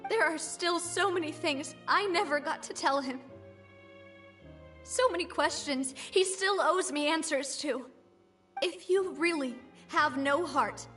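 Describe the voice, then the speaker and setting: An actress playing a determined, passionate young woman in a video game, with an expressive, dramatic delivery. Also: extremely high pitch, exaggerated voice.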